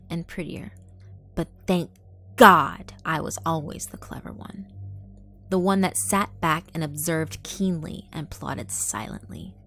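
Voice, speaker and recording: A woman narrating a first-person horror story in a measured, dark way, with hushed asides. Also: low-pitched. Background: faint background music.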